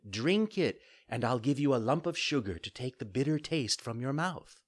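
A patient, motherly fairy from a storybook, as a male narrator plays her. She speaks softly and lovingly, coaxing along with a lilting, changeable pitch. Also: expressive, normal speed, natural voice. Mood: gentle coaxing.

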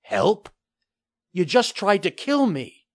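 A man's voice: animated, normal speed, no echo, clean studio sound, close to an everyday voice. A man playing a tense young pirate boy. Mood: defiant. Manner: tense and urgent, pitched up.

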